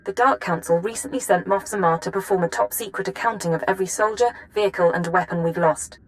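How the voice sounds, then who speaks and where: A woman's voice: lowish voice, quick pace, natural voice. A woman playing a composed but urgent intelligence analyst in a video game, speaking in a precise, businesslike way. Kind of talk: scripted video game acting.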